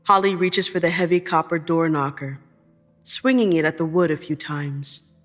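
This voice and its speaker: A woman narrating scripted second-person fiction in a measured, deliberate storytelling manner. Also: low-pitched, fairly bright tone, faint music behind.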